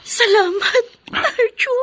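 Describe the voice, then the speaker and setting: A woman's voice: extremely high pitch, slow pace, a bit bright, full character voice. An actress playing an anxious, devoted young woman in a radio drama, pleading and emotional. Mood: tearful. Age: young adult. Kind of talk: Filipino radio drama acting.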